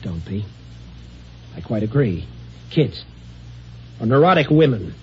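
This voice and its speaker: A man playing a nervous man terrified of the dark, stammering and halting, in a muffled old radio drama. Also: medium pitch, sing-song, faint music behind. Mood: weary. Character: frightened man, terrified of darkness. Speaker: office worker haunted by fear. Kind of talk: 1950s radio drama acting.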